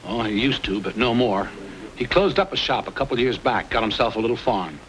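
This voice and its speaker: Man playing an animated, chatty frontier storekeeper. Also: medium pitch, brisk, natural voice. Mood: affable. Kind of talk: old-time radio Western acting. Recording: noisy old radio drama recording.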